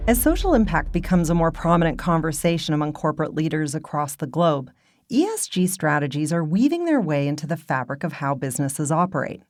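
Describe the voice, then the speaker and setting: A woman with a deep, low voice narrates a podcast from a script. Her delivery is polished and measured. The sound is close and dry, with no room around it. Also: darkish tone, no room sound. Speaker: podcast host.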